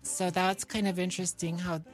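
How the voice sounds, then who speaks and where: A woman's voice: on the low side, flat delivery, moderate pace, soft background music. A woman from New York chatting casually as an interview guest, in a guileless, self-deprecating, simply worded way. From New York, United States. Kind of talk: casual chat.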